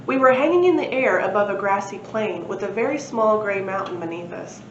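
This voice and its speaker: A woman reading a children's storybook aloud in an expressive narrating style, as a library presenter. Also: mid-range voice, a little fast. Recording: roomy, dull lo-fi, faint noise.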